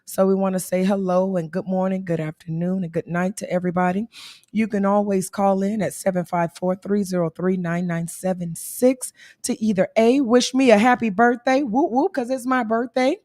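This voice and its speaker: A woman in her thirties with a hoarse voice, co-hosting a casual livestream talk and laughing and teasing as she goes. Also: medium pitch, fairly even intonation, moderate pace, darkish tone, dry recording, studio quality. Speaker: co-host.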